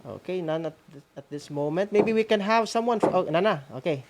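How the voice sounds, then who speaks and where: A man with a Filipino accent hosting a live school broadcast in a halting way, often adding "no?" as a tag. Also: sits high, animated. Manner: halting, with frequent "no?" tags. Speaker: host. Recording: noisy background with ambient sound.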